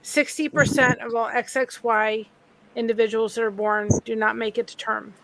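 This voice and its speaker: A woman's voice: fairly high pitch, flat delivery, normal speed, vocal fry, fairly bright tone, poor audio. A woman in a casual group video chat, explaining things earnestly and restarting her sentences.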